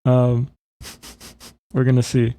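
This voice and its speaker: Male tutorial presenter, informal, thinking aloud, mellow delivery with noticeable vocal fry. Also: mid-range voice, monotone, very slow. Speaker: music software tutorial presenter. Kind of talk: casual spoken software demonstration.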